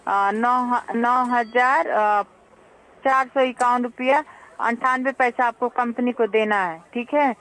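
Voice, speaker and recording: Smooth-voiced Indian woman call agent. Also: on the high side, fairly even intonation, slow pace, a bit bright. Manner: polite, repeatedly checks understanding. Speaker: loan company call centre agent. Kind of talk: customer service phone call, explaining figures. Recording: recorded phone call.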